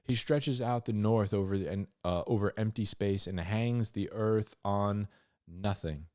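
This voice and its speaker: A man hosting a Bible reading, mixing scripture with informal, slangy commentary and animated asides. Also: lowish voice, a little slow, no room sound, clean studio sound. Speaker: host of a Bible reading show.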